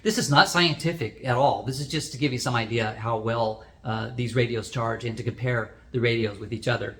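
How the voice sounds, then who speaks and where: A man's voice: mid-range voice, brisk, fairly bright tone. A man hosting a product review, explaining things in a plain, unscripted way as he demonstrates.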